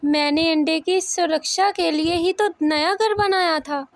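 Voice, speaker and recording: Woman as a high-voiced plaintive mother bird. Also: fairly even intonation, unhurried, dry recording, studio quality. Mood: distraught. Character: grieving mother bird, tender and tearful. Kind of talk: Hindi children's moral cartoon acting.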